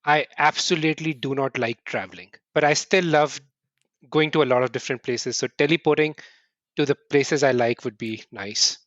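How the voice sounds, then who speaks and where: A middle-aged man from India, answering interview questions earnestly in quick bursts and often restarting with "right?". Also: medium pitch, fairly bright tone, no room sound, highs cut off. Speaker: podcast guest.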